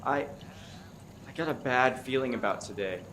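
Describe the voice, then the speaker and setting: A man's voice: mid-range voice, moderate pace, a bit bright, slight background noise, lightly acted. A man playing an anxious, gloomy diner regular in a comic radio play. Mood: uneasy.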